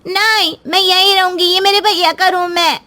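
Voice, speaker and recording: Naive young sister for a story cartoon, played by a woman: high, girlish, eager, simple-minded, insistent. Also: steady tone, moderate pace, bright, forceful tone. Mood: stubborn. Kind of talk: Hindi moral story cartoon voice-over.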